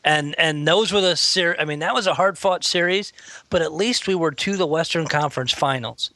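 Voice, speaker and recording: A man in his late thirties talks sports on a podcast in a measured, reflective way. His voice is bright and sharp, a touch higher than most men's. The sound is dry and close. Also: no echo. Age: 30s. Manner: measured, reflective longtime fan. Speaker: podcast co-host. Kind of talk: casual sports chat.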